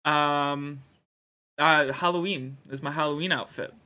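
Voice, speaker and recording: A man's voice: fairly high pitch, fairly even intonation, unhurried, pushed and bright. A man co-hosting a podcast, chatting casually about sports. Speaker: podcast co-host.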